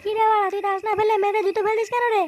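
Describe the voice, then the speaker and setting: A man voicing a cocky, pushy gang member who curses brashly in a comedy cartoon, with an artificially pitched-up voice. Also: level tone, very fast, soft background music. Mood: accusing. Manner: brash, slangy cursing.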